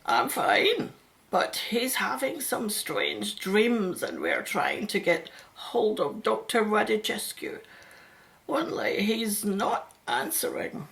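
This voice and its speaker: A woman's voice: mid-range voice, wildly swooping pitch, slow pace, creaky, fairly bright tone, heavily acted. A woman playing a practical, polite, reassuring mother in a radio play.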